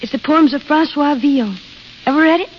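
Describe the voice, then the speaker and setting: Woman as a frank young American waitress, highish voice. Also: fairly quick, natural voice. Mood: wistful, confiding. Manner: plain-spoken, frank. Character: blunt, restless young desert waitress, dreamy and wistful. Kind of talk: 1930s-40s radio drama acting. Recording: muffled, hissy vintage radio recording.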